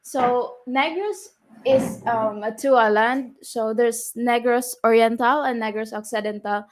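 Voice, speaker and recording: High-voiced Filipino woman, casual and hesitant. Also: steady tone, a little slow. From Philippines. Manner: hesitant, searching for words. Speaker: vlog co-host. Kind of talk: casual unscripted chat.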